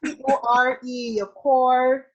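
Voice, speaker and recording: A woman's voice: high-pitched, flat delivery, takes it slowly, low-quality recording. A woman with a Trinidadian accent, interviewing a guest in a casual live-streamed chat, speaking with energy and enthusiasm and sometimes slipping into preaching.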